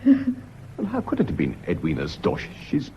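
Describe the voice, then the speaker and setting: A man's voice: mid-range voice, huge pitch swings, moderate pace, dark tone. An actor playing a tense, resentful British schoolmaster in a vintage radio drama, clipped and insistent as he reassures and controls. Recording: muffled, noisy old radio drama recording.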